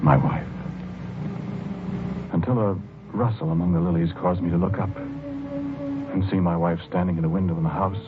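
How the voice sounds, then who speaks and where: Casting: timid, dreamy husband, low register, halting and wistful, vintage horror radio drama, tinny old recording. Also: male voice, very animated, noisy background. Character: dreamy, timid husband obsessed with his lilies. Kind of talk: 1940s radio drama acting, first-person narration and dialogue. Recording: muffled old radio recording, music behind.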